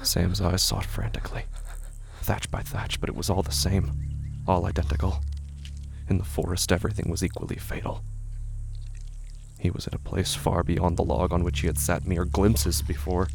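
A man narrating scripted horror fiction dramatically and gravely, with deliberate pauses and breathy drops toward a whisper. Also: sits low, level tone, noisy background.

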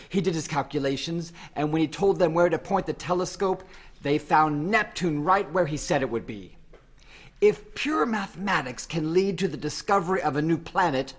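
An actor playing a learned, indulgent but sceptical university professor in a radio drama, speaking in a measured, formal lecturing manner. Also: male voice, high-pitched.

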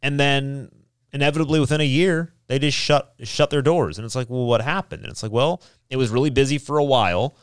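A man hosting a podcast in casual chat, telling things in a halting, informal way. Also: mid-range voice, clear, resonant tone, dry recording, studio quality. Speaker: podcast host.

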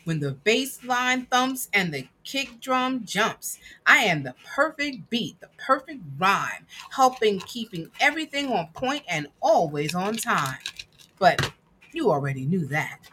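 A woman reading a picture book aloud with emphatic, dramatic phrasing and deliberate pauses. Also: medium pitch, sing-song, sharp tone, slight background noise. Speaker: guest reader and producer.

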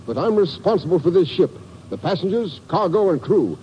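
An anxious, frank ship's captain in an old radio drama. His voice sits a little high, heard through a dull recording with faint noise. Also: big pitch swings, fairly quick. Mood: worried, defensive. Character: earnest, worried, responsible ship's captain. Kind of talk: 1950s radio drama acting.

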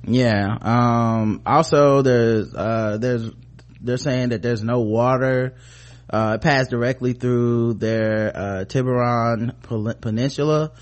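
An American man co-hosting a podcast, casually talking through the news and reading bits of articles in a halting, informal way. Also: medium pitch, fairly even intonation. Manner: informal, halting, often trailing off mid-thought. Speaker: podcast co-host.

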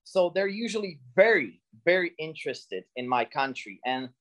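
A man's voice: fairly high pitch, moderate pace. Joking young Slovak man, chatty guest. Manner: joking, animated storyteller. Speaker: guest, comedy YouTuber. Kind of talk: casual podcast conversation.

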